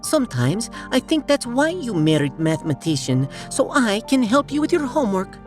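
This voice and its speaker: A man's voice: very high voice, very animated, moderate pace, faint music behind. A man voicing a practical, teasing female mathematician with a Russian accent and chiding manner in a sci-fi audio drama. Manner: chiding, no-nonsense.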